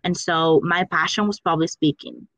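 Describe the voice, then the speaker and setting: A woman's voice: on the low side, steady tone, moderate pace, a bit bright, dry recording, poor audio. A young woman from the Dominican Republic, a Spanish speaker, answering interview questions about her career coaching firm with enthusiasm and many fillers.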